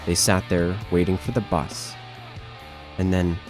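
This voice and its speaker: A man's voice: deep voice, little pitch movement, unhurried, music in the background. A man narrating a scripted horror story as the narrator of an audio drama.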